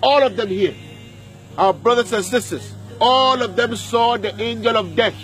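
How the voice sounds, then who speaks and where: A man's voice: very high voice, moderate pace, fairly bright tone, soft background music. A man preaching a graveside sermon outdoors, repeating his points emphatically and putting questions to his listeners. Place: outdoors at a cemetery.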